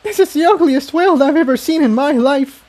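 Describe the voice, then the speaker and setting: A man voicing a young female pony, excitable and wry, in a story reading. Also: very high-pitched, moderate pace, pitched above the performer's own voice. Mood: amused, nervous.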